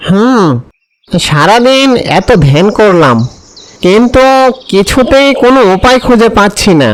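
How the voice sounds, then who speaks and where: Man voicing a high-pitched, dramatic jungle sorcerer. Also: animated, measured pace. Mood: brooding. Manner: dramatic, exclaiming. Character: worried cartoon sorcerer of the jungle, defiant. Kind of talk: animated children's story voice acting.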